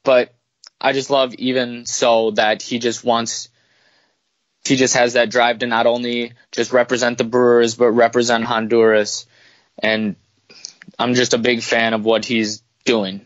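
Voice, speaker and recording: Guy, pretty monotone, casual sports podcast chat, dull dry audio. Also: mid-range voice, a little slow, no echo.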